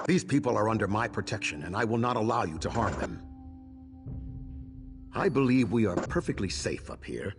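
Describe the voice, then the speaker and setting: An actor playing a stern, weary swordsman who protects survivors, speaking formally and resolutely in a fantasy video game. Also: on the low side. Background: background music.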